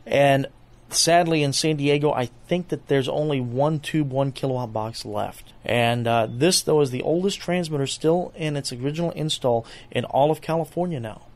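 A man answering as an interview guest, explaining things in an earnest, measured, conversational way. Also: medium pitch. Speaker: guest, transmitter preservation enthusiast.

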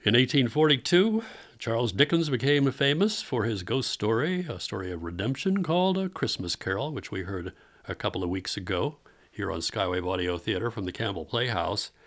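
Low-voiced male radio theater host. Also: a bit bright. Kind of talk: scripted introduction of vintage radio programs.

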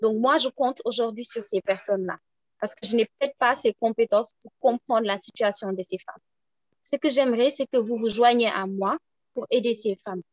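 A woman from Senegal with a Senegalese French accent, speaking as a guest and making an earnest, measured appeal for support. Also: fairly high pitch, dry recording, highs cut off. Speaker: guest, project founder.

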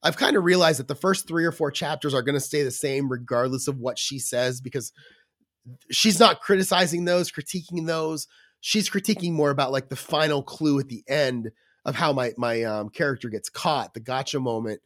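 A man's voice: medium pitch, normal speed, fairly bright tone, no room sound, studio quality. Man with a scratchy cold voice. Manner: rambling, lots of fillers and restarts. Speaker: podcast co-host. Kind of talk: casual chat.

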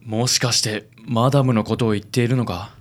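A man playing a suave, theatrical gentleman with pompous, chivalrous, flowery speech in a comic radio drama. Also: medium pitch, fairly even intonation, fairly quick, close to an everyday voice. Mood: dramatic concern. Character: suave, theatrical gallant man who fusses over ladies.

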